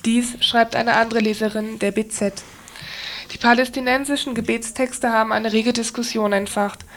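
A woman presenter with a fairly high voice reads notices and letters on local radio. Her delivery is measured and stays quite flat in pitch, clearly read from a text. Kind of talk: reading announcements and listener letters on radio.

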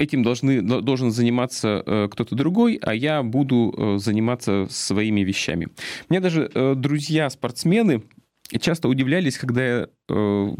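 Middle-aged man, halting radio commentator, dry studio. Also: medium pitch, darkish tone, no echo. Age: 40s. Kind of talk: political commentary on community radio.

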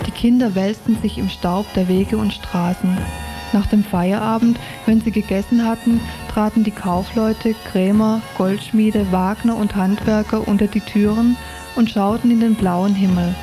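A woman's voice: medium pitch, dark tone. A woman giving a scripted reading from a novel as a storytelling narrator. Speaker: reader of a novel excerpt.

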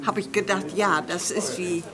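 A woman's voice: on the low side, steady tone, normal speed. A woman visiting a repair café, chatting casually and laughing while she tells her story. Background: crowd chatter.

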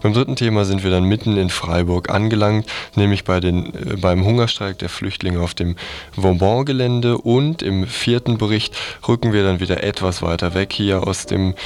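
A man's voice: sits low, normal speed, darkish tone. A man presenting a semi-scripted programme overview on the radio.

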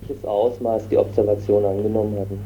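A man's voice: fairly low pitch, little pitch movement, fairly quick. A man asking hesitant interview questions for radio. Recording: dull recording with faint hum.

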